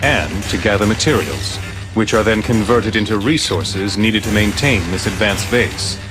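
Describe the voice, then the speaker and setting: An actor playing a stern, dignified branch director in a video game cutscene, formal, measured and commanding. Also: male voice, lowish voice, over music, close to an everyday voice. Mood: grave, lecturing.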